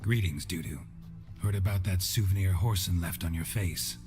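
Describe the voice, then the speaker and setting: A man performs a stoic monster hunter for a fantasy game. His voice sits very low, terse and deadpan with dry wit, over music. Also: moderate pace, lightly acted. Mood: dry, inquiring. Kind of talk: video game voice acting. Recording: music behind the voice.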